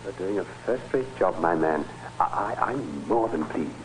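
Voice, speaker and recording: A man's voice: low voice, lively intonation, unhurried, rough recording. An actor playing a courteous, hesitant, well-spoken English clergyman in an old-time radio drama. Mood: genial, courteous. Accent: educated English. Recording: hissy vintage radio recording.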